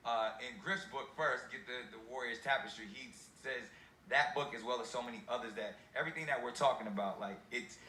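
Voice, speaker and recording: Brief: male host, bright forceful tone, chatty and affirming, roomy echo. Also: mid-range voice, fairly quick. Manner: conversational, keeps affirming, cites books. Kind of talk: casual discussion. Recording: echoey room, faint background noise.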